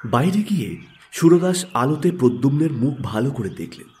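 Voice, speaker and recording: Expressive male narrator with a mellow voice. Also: medium pitch, a little fast. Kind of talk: scripted literary story narration.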